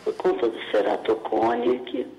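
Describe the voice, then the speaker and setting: A hoarse woman phones in to a radio prayer show, her gravelly voice worn by a sore throat and slipping now and then into a breathy whisper. She speaks with grateful warmth, and the line carries a long, hollow reverb. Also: a little high, big pitch swings, unhurried, slight background noise. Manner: grateful testimony, voice dropping to a breathy whisper at times. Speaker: caller.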